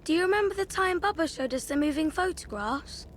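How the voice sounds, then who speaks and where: A woman's voice: very high voice, expressive, brisk, a little hiss or hum. A woman voicing an innocent, wistful little brother, an immortal child in a video game. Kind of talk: video game character acting.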